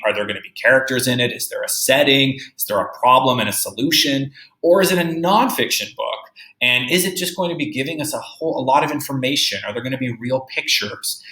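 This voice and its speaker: A man speaks as a grade-school teacher guiding children through a read-aloud lesson. His voice is bright and clearly projected at an ordinary male pitch. He explains in an instructive, emphatic way and often checks that listeners follow, with audible room echo around him. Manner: instructive, emphatic, checking understanding with tag questions.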